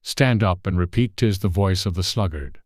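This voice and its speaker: A narrator plays a storybook griffin with a deep, low voice. The beast is a solemn know-it-all, brusque and easily impatient. The recording is very dry. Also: normal speed, darkish tone, no echo, natural voice.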